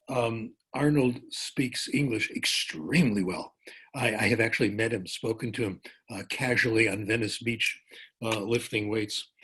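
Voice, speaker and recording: A husky-voiced older man speaks at a middle pitch, giving a conference lecture. He tells relaxed anecdotes, often breaking off for asides and correcting himself as he goes. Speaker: professor giving a conference talk. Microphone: remote talk over a conference call.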